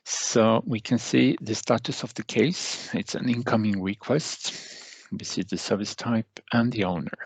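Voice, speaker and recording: Guy doing a software walkthrough, really slow and halting, super dry close mic. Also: on the low side, steady tone, vocal fry, husky, no room sound, low-quality recording. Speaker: software trainer. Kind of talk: step-by-step software tutorial narration.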